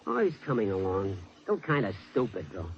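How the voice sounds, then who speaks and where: A cocky teenage tough kid, rattles along in slangy talk. His pitch jumps around in old radio drama fashion. The recording is dull and slightly noisy. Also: very animated, a little fast. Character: cocky, bossy teenage boy crook. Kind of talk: 1950s radio drama acting.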